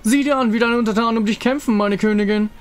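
A man's voice: high voice, steady tone, quick pace, voice pushed up, lightly acted. A pompous undead king from a fantasy game, put on by a man, menacing and sick with love. He declaims theatrically in a raised male voice, with music underneath. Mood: triumphant. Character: undead lovesick king, grandiose and menacing villain.

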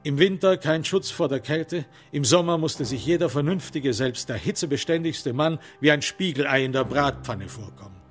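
A man's voice: on the high side, expressive, normal speed, few pauses, faint music behind. Male crime radio drama narrator. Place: studio.

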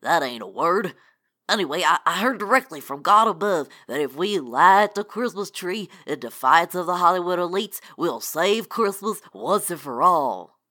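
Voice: mid-range voice, expressive, moderate pace, pushed and bright. An actor playing a folksy, naive, blustering small-town religious zealot in a comic radio play. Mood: earnest zeal. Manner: folksy exclamations, flustered stammering.

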